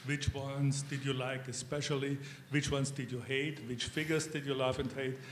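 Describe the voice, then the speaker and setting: A man's voice: medium pitch, little pitch movement, normal speed. A male journalist putting a string of questions at a press conference. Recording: echoey room, faint noise.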